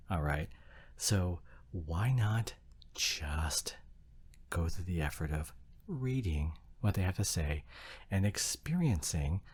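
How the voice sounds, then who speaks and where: A man playing a low-voiced, exasperated anime fan boyfriend. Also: a little mellow, slightly rough, heavily acted. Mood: exasperated, flustered. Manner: halting, repeats words, sighing emphatic rant. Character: exasperated, flustered young anime fan boyfriend, opinionated and snobbish. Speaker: audio roleplay performer. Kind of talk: ASMR boyfriend roleplay monologue, argumentative.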